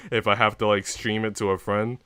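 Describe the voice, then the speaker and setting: A man's voice: lowish voice, very animated, a little slow, sharp tone, clean tone, no room sound. A man livestreaming in a casual, rambling, informal chat style, swearing freely. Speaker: live streamer.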